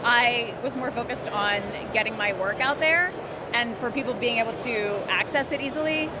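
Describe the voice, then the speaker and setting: A woman giving casual, conversational interview answers, explaining things as a podcast writer being interviewed. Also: fairly high pitch. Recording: loud urban background noise, on location.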